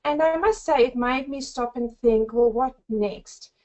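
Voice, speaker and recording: A woman in her forties from South Africa with a South African accent, giving an effusive, upbeat sales pitch on a group call. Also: a little slow, a little mellow, muffled recording. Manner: effusive, upbeat, addresses listeners as friends. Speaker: network marketing presenter.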